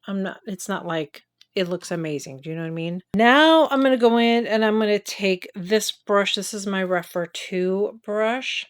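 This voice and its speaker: A middle-aged woman with a nasal voice from a cold, chatting candidly through a makeup review and tutorial. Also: medium pitch. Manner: chatty, candid, talks while applying makeup. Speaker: beauty vlogger.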